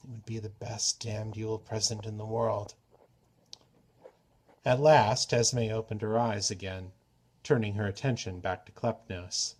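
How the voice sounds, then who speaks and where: A man's voice: on the low side, fairly even intonation, a little slow, darkish tone. A man reading a story aloud as a narrator, in scripted fiction style. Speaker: story reader.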